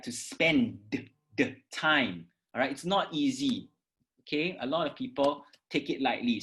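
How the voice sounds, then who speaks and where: A Singaporean teacher giving a reading-aloud lesson, enunciating words deliberately and emphatically and often checking in with the listener. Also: medium pitch, a bit bright. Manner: instructive, often checking with "okay" and "right". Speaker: English oral exam teacher. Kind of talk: instructional lesson with read-aloud demonstrations.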